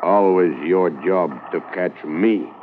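An actor playing a bitter, defiant prisoner who speaks in clipped broken English, in a 1950s radio drama. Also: male voice, low voice, steady tone, takes it slowly. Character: defiant proud horse thief prisoner, bitter. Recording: tinny old radio transcription, hiss.

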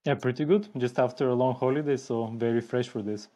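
A man's voice: medium pitch, brisk, dark tone. A man from Bulgaria who speaks natively, a podcast guest talking casually with many fillers. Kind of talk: casual interview.

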